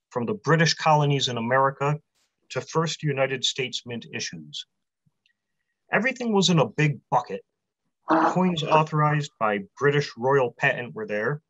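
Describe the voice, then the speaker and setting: Casting: man in his forties, steady prepared talk, dry, slightly dull webcam sound. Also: a little high, dry recording. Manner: measured, list-heavy delivery. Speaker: publisher presenting a convention talk. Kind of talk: prepared talk read aloud. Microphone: webcam on a video call.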